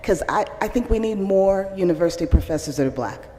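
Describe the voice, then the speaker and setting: A woman's voice: mid-range voice, animated, a little fast, warm tone. A young woman, an audience member, earnestly asking a question at a public forum in a large hall. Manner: earnest, searching, rising questions. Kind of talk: question from the floor. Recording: roomy, echoey hall reverb.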